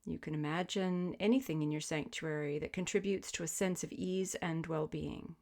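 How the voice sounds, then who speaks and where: Low-voiced woman guiding a meditation. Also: steady tone. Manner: soothing, gentle, measured invitations with pauses. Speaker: yoga nidra meditation teacher. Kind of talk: guided sleep meditation, spoken instruction.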